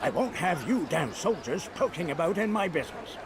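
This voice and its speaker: A fairly high, animated male voice plays a stubborn old man. He is irritable and scolds defiantly, with clear background noise in a game scene. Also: a little fast. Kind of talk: video game cutscene acting.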